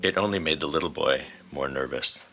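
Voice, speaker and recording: A man's voice: a bass voice, fairly quick, over music. A man reading scripted story narration as the narrator. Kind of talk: scripted fan fiction narration.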